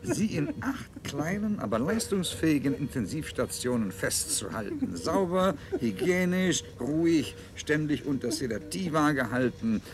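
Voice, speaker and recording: A man's voice: a little high, very animated, measured pace, few pauses. A man playing a cold, self-satisfied scientist in a German sci-fi radio play, speaking theatrically and clinically, relishing each word. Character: cold, self-satisfied male scientist-ruler extolling his breeding system. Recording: radio drama with music underneath.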